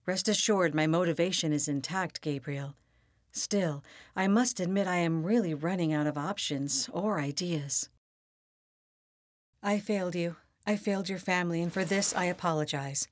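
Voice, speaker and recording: An actress playing a villain's devoted, composed assistant in an animated game, formal, restrained and earnest. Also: female voice, fairly low pitch, normal speed, dry recording, clean studio sound, close to an everyday voice. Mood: regretful. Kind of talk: dramatic animated game cutscene acting.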